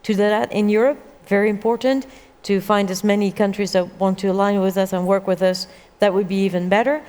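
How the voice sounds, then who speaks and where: A woman's voice: mid-range voice, steady tone, fairly quick, darkish tone. A woman from the Netherlands, a government minister, talking in a panel discussion in a conversational way, with many fillers. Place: conference hall stage. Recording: reverberant conference hall.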